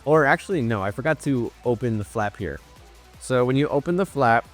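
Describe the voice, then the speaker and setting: A young man with a stuffy nose chatting casually through a toy unboxing, full of ums and uhs. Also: lowish voice. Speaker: toy reviewer.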